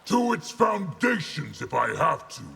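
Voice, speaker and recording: Gravelly, imperious army general. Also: male voice, on the high side, sing-song, measured pace, soft background music, exaggerated voice. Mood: fierce. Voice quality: gravelly, hard-edged. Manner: imperious, forceful. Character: stern, zealous, scornful military general. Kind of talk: video game fighting character dialogue.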